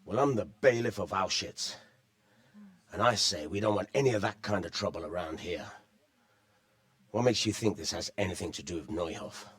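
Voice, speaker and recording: A man playing a gruff, blunt, weary village bailiff in a medieval video game. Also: deep voice, moderate pace, a bit bright. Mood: exasperated, defensive.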